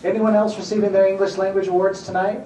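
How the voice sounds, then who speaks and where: A man's voice: high-pitched, little pitch movement, fast, dark tone, distant mic, a little hiss or hum. A man hosting a council meeting, encouraging speakers in a friendly, informal way. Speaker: meeting host. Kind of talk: informal public meeting remarks. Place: council chamber. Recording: echoey chamber audio from afar.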